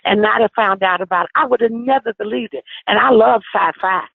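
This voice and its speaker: A woman in her sixties calling in to a conference call, talking forcefully and insistently. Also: medium pitch, fairly quick, gravelly, slightly rough, no echo. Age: 60s. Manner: forceful, insistent, talks over others. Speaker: caller on an activist conference call. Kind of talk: impassioned phone call. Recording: tinny phone line.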